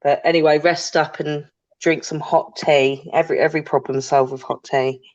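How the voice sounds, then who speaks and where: A woman with a deep voice co-hosting a podcast, chatting bluntly and casually, sometimes swearing. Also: fairly even intonation, normal speed. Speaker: podcast co-host. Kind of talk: casual chat about a paranormal case. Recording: dull lo-fi sound.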